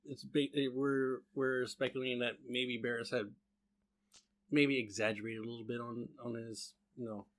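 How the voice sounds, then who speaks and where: A man's voice: medium pitch, flat delivery, moderate pace. Hesitant man telling a story on a podcast. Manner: hesitant, many fillers and restarts, dry humor.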